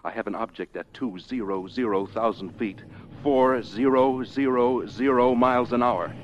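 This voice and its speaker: An actor playing an alert, businesslike military pilot in a radio drama, reporting in clipped procedural speech. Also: male voice, mid-range voice, normal speed, a little hiss or hum, lightly acted. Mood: tense, businesslike. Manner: clipped, procedural call signs and numbers. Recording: dull radio transmission sound.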